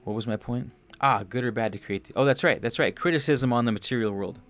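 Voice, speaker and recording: A man's voice: mid-range voice, fast talker, faint music behind. Rambling, casual young man musing. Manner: rambling, restarts a lot, swears casually, animated on big points. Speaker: podcast host. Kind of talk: casual livestream monologue.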